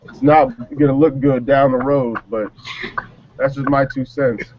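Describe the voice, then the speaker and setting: A man's voice: mid-range voice, fairly even intonation, a little slow, background noise. A man from New Jersey giving casual, wry, blunt opinions as a panelist. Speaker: talk show panelist. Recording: dull lo-fi sound.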